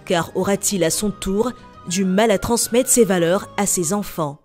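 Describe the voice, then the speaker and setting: A woman from Côte d'Ivoire, reading a scripted TV news voice-over in an even, measured way. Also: mid-range voice, soft background music. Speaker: TV reporter.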